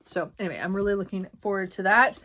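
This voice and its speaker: Female book vlogger, casual and chatty, bright tone, slight hoarseness, meandering delivery full of fillers. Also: mid-range voice, fast. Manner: rambling, many ums and self-corrections. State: slightly hoarse, clearing throat. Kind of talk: casual book haul chat.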